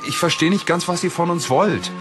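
A man's voice: high voice, a little fast, lightly acted. A man playing a wary, self-reliant young man in an audio drama. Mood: sceptical. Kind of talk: German audio drama acting. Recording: music behind the voice.

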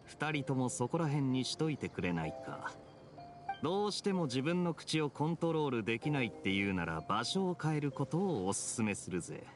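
An actor playing a cool, wry young knight captain in an anime game, smooth and quietly threatening. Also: male voice, mid-range voice, very animated, moderate pace, close to an everyday voice. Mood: coolly warning. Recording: game music behind.

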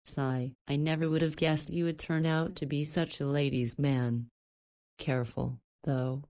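A confident visual novel heroine with a notably low voice. She is knowing and playful, forthright when she needs to be, and protective underneath the teasing. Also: steady tone, unhurried, a little mellow.

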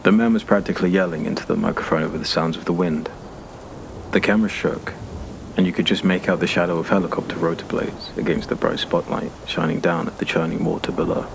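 A man narrating a scripted dark fantasy story as an audiobook narrator. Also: deep voice, fairly even intonation, quick pace. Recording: clear background ambience noise.

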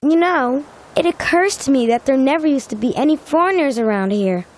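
A woman's voice: high-pitched, animated, a little fast, loud music behind the voice. A woman voicing a logical, studious young detective with formal, precise speech in a cartoon game. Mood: analytical.

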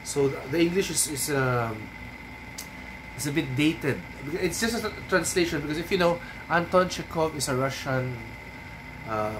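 A man in his thirties from the Philippines with a Filipino accent, a Cebuano native speaker, giving unhurried writing advice to a webcam in a small room at home. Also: a little high, noisy background. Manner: unhurried, thoughtful, frequent ums and tag "no?". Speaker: writing coach. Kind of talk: casual advice talk to camera. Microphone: webcam at desk.

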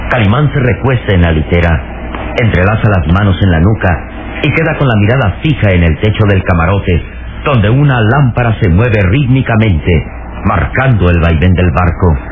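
A man's voice: lowish voice, expressive, moderate pace, noisy background. A man delivering scripted dramatic narration for an old radio serial. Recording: lo-fi radio recording with music. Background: background music.

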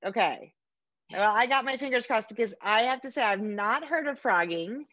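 A middle-aged American woman hosting a casual interview over a video call, effusive and quick to poke fun at herself. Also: fairly high pitch, lively intonation, fairly bright tone, no echo, highs cut off. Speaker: podcast host interviewing an author. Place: book-lined room at home, indoors.

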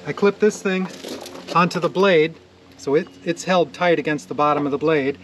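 A man's voice: fairly high pitch, normal speed, a bit bright. A man in his forties casually explaining a sawmill repair as he works outdoors, laughing easily. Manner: chatty, laughs easily, talks while working. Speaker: sawmill owner showing repairs. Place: outdoors in a wooded yard beside a sawmill. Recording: outdoor recording with clear background noise.

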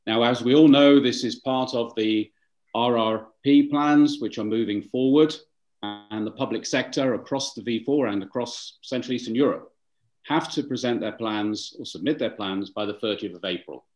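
A man's voice: mid-range voice, darkish tone. Male webinar moderator, formal, some restarts, video call sound. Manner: formal, halting, with restarts and fillers. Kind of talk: formal welcome and introductions.

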